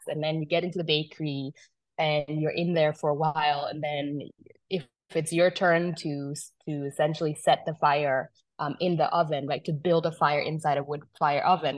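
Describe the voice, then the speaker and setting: A woman's voice: deep voice, dry recording. A woman originally from South Sudan, a guest telling stories in casual conversation, chatty with many fillers and restarts. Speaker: wine business founder, guest.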